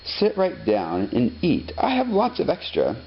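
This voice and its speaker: Man doing an exclamatory storybook picnic-goer, swooping pitch. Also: wildly swooping pitch, unhurried. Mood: warm, grateful. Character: friendly picnic-goer, an adult man, upset then generous. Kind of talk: children's storybook read-aloud. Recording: dull lo-fi sound, faint noise.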